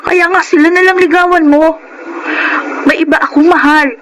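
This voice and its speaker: A woman's voice: very high-pitched, steady tone, normal speed, heavy background noise. An actress playing an earnest young saleswoman in a Filipino radio drama, sincere and polite. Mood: apologetic, firm. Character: earnest young saleswoman, modest, firm with suitors. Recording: radio drama with loud music bed.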